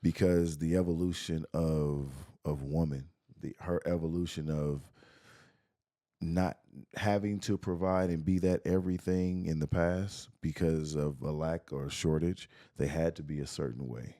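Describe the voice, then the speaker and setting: A young man co-hosting a podcast in casual chat, relaxed and repeating phrases for emphasis. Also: extremely low pitch, a little slow, no room sound, studio quality. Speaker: podcast co-host.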